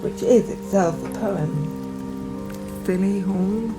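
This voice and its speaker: Female poet, very slow and halting, reflective documentary delivery, music underneath. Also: medium pitch, low-quality recording. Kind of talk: poetic reading and reflective documentary talk.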